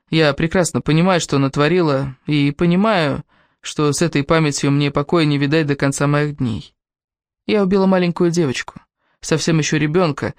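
A woman's voice: low voice, darkish tone, no room sound, clean studio sound. A woman reading a first-person novel aloud as scripted audiobook narration.

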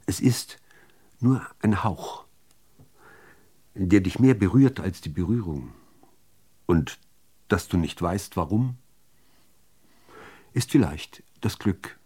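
A man reciting literary poetry in deliberate, measured phrases with pauses between them. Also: low voice, expressive, warm tone, breathy edge. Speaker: reader of poetry.

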